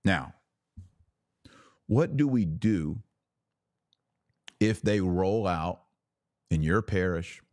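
Male religious commentator, low voice, dry close sound. Emphatic, punchy, repeats key phrases, challenges the listener directly. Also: animated, extremely slow, pauses often, a little mellow, no room sound. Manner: rhetorical questions to listeners, acting out imagined quotes. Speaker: podcast host and religious commentator. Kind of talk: impassioned religious commentary to a live audience.

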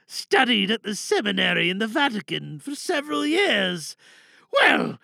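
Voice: medium pitch, creaky, bright, forceful tone, dry recording, pitched above the performer's own voice, exaggerated voice. A performer voicing a frantic, self-righteous driver making indignant, wheedling excuses in a comic audio drama monologue. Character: frantic, self-righteous reckless driver lying to a police officer.

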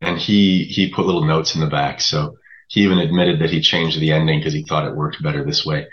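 A man being interviewed as a writer, explaining things conversationally in a halting way. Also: a bass voice, little pitch movement, lo-fi sound.

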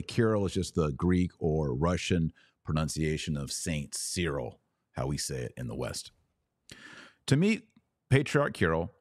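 Deep-voiced American man, casual podcast host. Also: darkish tone, dry recording, studio quality. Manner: conversational, informal, wryly speculative asides. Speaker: podcast host and religious commentator. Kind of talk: casual solo commentary on livestream.

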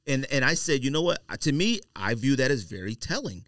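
A man's voice: mid-range voice, very animated, fairly quick, fairly bright tone, dry recording, clean studio sound. An American man hosting a casual podcast debate, arguing emphatically and often cutting in. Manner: argumentative, emphatic, interrupting. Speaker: podcast host.

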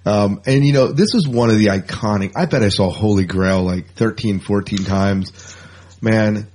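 A man with a low, husky voice hosts a casual sci-fi podcast. He talks conversationally and with enthusiasm about genre news. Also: moderate pace, a little mellow. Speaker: podcast host.

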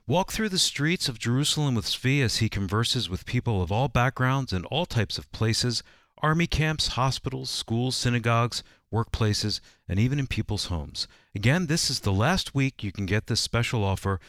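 A man's voice: mid-range voice, expressive, moderate pace, a bit bright, dry recording. A man reading a scripted promotional announcement for a radio program in a studio.

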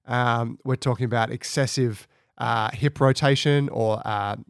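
Raspy male podcast host with a cold. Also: medium pitch, steady tone, unhurried, no room sound, studio quality. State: has a cold. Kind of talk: conversational podcast introduction. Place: at home.

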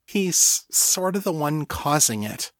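A man's voice: a little high, lively intonation, slow pace, a bit bright, dry recording, studio quality, pitched above the performer's own voice. A man voicing an anxious woman in an audiobook story, earnest, urgent and hesitant as a pleading friend.

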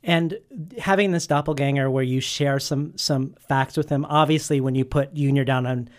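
A man's voice: medium pitch, steady tone, normal speed. A man interviewing an author, asking long prepared questions in an earnest way with frequent restarts.

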